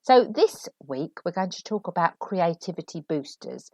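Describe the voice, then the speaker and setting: Lively woman with a cold. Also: fairly low pitch, moderate pace, no room sound. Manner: chatty and animated, laughing asides. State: recovering from a cold, sniffly. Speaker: podcast host and author. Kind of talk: solo podcast advice talk.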